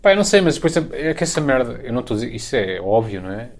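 Very fast-talking man, European Portuguese accent. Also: medium pitch, sing-song, gravelly. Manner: colloquial, sweary, hedging with tag questions. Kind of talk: casual chat about films.

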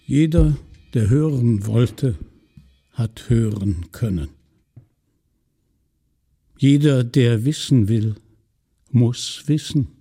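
A man narrating and announcing a radio drama in a solemn, measured way with emphatic pauses. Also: medium pitch, lively intonation, mellow, breathy edge. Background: faint music.

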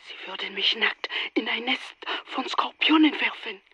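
A woman's voice: a little high, normal speed, bright, forceful tone, no room sound, heavily acted. An actress in a German radio drama playing a frightened, hunted young woman, whispering urgently in secret. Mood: fearful whispering. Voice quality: breathy, whispering.

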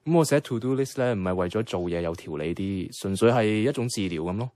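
A man with a Hong Kong Cantonese accent playing a caring, easygoing young flatmate in a radio drama, talking casually and earnestly. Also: sits low, quick pace, dry recording, studio quality. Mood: earnest, reflective. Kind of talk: conversational radio drama dialogue. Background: background music.

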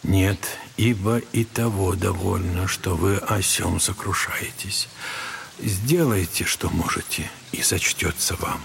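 An actor playing a gentle, wise elderly monk who counsels in a measured, softly admonishing way in a literary audio drama. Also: male voice, sits low, vocal fry, slight background noise, exaggerated voice. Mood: gentle, grave.

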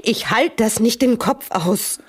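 An irritable, dismissive junkie in a radio play. The voice is high and slangy, full of apathy and impatience. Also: male voice, slow pace. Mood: irritated. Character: surly, irritable, apathetic drug addict. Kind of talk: radio drama acting.

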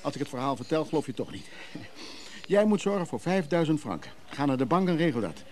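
A man playing a brisk schemer giving urgent, secretive orders on the phone in a radio play, halting and commanding. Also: on the high side, sing-song, a little mellow.

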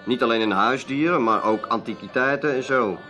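A man's voice: mid-range voice, a little fast, sharp tone, soft background music, close to an everyday voice. A man playing a chatty, evasive small-time dealer in a radio drama, with a folksy, hesitant way of talking. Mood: guarded, chatty. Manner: folksy, hesitant, tag-heavy talk. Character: chatty, evasive male dealer in odds and ends.

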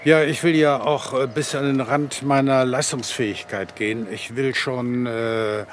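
An actor playing an exhausted, dutiful man pushing himself to his limit in a satirical radio play, halting and strained. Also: mid-range voice, steady tone, a little hiss or hum, lightly acted. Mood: straining.

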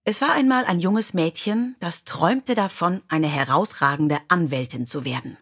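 A woman's voice: fairly low pitch, normal speed, slightly rough. A woman reading scripted biographical stories as an audiobook narrator.

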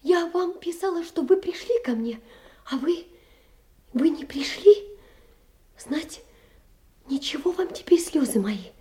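A poor, proud young woman in a stage drama, with a very high voice. She is distraught and full of reproach, moving between emotional outcry and breathy whispering. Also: mellow, exaggerated voice. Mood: tearful reproach. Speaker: stage actress. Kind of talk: theatrical audio drama.

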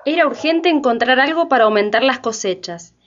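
A woman's voice: high voice, animated, fairly quick, a bit bright, a little hiss or hum. A woman narrating a radio drama from a script. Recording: dull lo-fi, faint music behind.

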